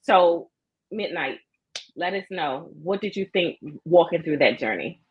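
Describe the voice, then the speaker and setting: Lowish, husky-voiced woman hosting an online chat over a dull mic, chatty. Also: lively intonation, a little slow. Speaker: author hosting a live chat. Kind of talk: casual live chat.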